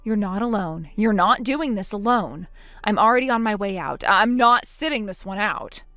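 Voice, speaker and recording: A woman's voice: moderate pace, no echo, soft background music, lightly acted. A woman voicing a determined older sister who speaks firmly and steadily. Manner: firm, steady, lower-voiced.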